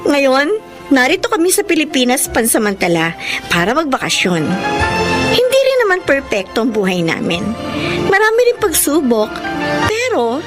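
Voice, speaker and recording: A woman playing a devoted, excitable young Filipina in a radio drama, speaking expressively with a Filipino accent. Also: high-pitched, moderate pace, over loud music. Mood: fond, reflective. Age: young adult. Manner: earnest, expressive. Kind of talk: radio drama acting and first-person narration.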